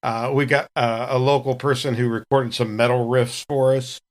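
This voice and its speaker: A man's voice: medium pitch, level tone, moderate pace. A man chatting casually on a podcast about his projects. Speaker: podcast cast member and producer.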